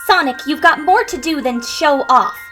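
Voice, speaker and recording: A woman's voice: high voice, animated, normal speed, sharp tone, soft background music. A woman voicing a spirited, scolding young female hedgehog heroine with an earnest, girlish manner in a fan audio drama. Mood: stern, concerned.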